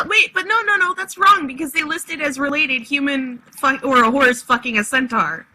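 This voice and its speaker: A woman's voice: high voice, normal speed, bright, forceful tone, low-quality recording. A woman co-hosting in casual comedic banter, speaking in an insistent way. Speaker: co-host.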